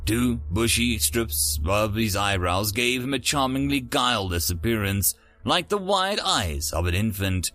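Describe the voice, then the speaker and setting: A male narrator with a bright, forward voice and a widely swinging pitch. He reads scripted fiction in a showy, dramatic announcer manner, with faint music underneath. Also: sing-song, unhurried, hardly any pauses. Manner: theatrical, dramatic announcer delivery. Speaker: narrator and channel host.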